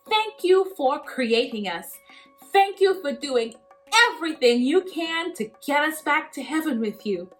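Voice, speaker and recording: A woman hosting a children's Bible lesson, cheerful and animated, laughing often as she talks to kids. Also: sits high. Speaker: children's story host.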